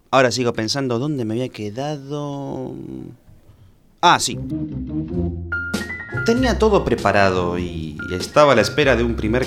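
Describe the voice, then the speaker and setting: A male actor plays a self-important amateur private eye with an Argentine accent. His medium-pitched voice swings widely as he narrates and bickers in a deadpan, theatrical radio comedy style, with guitar music clearly behind him. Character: self-important, naive amateur detective living with his mother. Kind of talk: comic radio play narration and dialogue.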